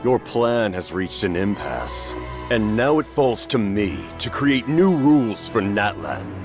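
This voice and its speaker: A grandiose male villain in a video game, cold and sure of himself. He draws out his words very slowly with theatrical swings in pitch. Music runs clearly behind him. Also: big pitch swings. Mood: cold menace. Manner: slow, theatrical, pompous.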